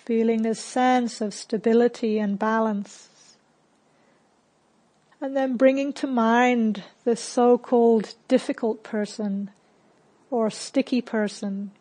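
A woman leading a guided meditation as a teacher, calm and unhurried, giving gentle instructions with long pauses. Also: a little high.

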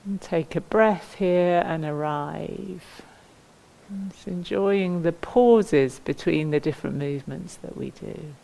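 Female meditation and movement teacher, low voice, gentle and unhurried guidance, leaves space between phrases. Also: creaky, poor audio. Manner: gentle, unhurried, inviting, with pauses. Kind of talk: guided mindful movement instruction.

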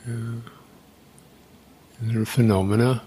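A man guiding a meditation as a teacher, speaking in an unhurried, halting way with long pauses. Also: medium pitch, steady tone, dark tone, breathy edge. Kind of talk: guided meditation, unscripted.

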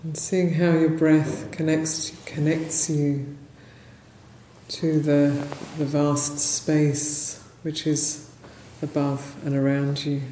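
Slow, mellow woman guiding a meditation. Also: sits low, little pitch movement. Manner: gentle, unhurried, with long pauses between short phrases. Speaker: meditation teacher, Buddhist nun. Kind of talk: guided meditation. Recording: roomy echo, faint background noise.

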